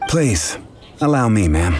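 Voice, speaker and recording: A man's voice: lowish voice, big pitch swings, slow pace, a little mellow. A man playing a courteous, formal and businesslike barman in a fantasy video game. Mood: polite, pressing. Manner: polite, formal. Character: courteous, businesslike tavern barman with pressing orders. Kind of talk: video game character acting. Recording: game music behind the voice.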